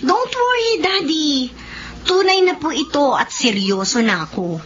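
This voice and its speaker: A woman's voice: sits high, big pitch swings, unhurried, fairly bright tone, full character voice. A woman playing a whiny, pampered, flighty young adult daughter, girlish and pleading, in a radio drama. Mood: pleading, eager. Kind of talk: Filipino radio drama acting. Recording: dull lo-fi radio, faint noise.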